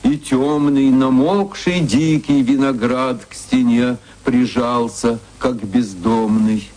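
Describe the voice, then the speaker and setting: A man reciting his own verse in a measured, solemn, chanting way, from an archive recording. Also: mid-range voice, fairly even intonation, mellow, low-quality recording. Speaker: poet reading his verse.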